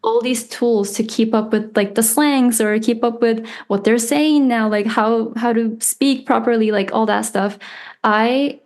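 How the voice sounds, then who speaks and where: A woman's voice: on the high side, steady tone, unhurried, darkish tone. A woman in her twenties whose first language is Japanese, a podcast guest chatting casually with plenty of "like" and "um". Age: 20s. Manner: chatty, lots of "like" and "um", laughs lightly. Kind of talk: casual interview chat.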